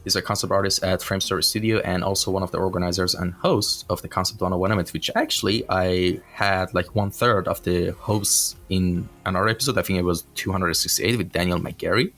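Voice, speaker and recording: A man's voice: sits low, fairly even intonation, fairly quick, hardly any pauses, a bit bright, faint music behind. A man hosting a podcast, chatty and loose, correcting himself and filling gaps with little asides. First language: not English. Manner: chatty, self-correcting, filler-heavy. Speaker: podcast host. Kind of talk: casual interview chat.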